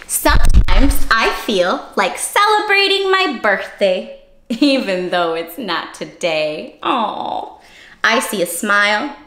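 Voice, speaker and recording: Female story-time host, very lively pitch swings, unhurried pace, playful and child-directed, room echo. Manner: animated, exaggerated child-directed delivery with gasps and playful questions. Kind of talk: interactive children's read-aloud.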